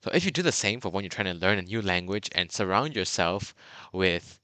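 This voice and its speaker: A man's voice: low voice, expressive, brisk, sharp tone, dry recording. A young man from Burma giving a casual video lesson for beginners, chatty and full of fillers and restarts. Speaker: language tutor.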